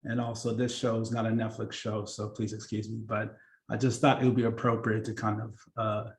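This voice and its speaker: A man's voice: lowish voice, fairly quick. Man, 30s, workshop presenter; casual, chatty, filler-heavy delivery. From born in Kenya, grew up in Seattle. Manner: relaxed and chatty, many fillers and restarts. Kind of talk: casual career talk to students.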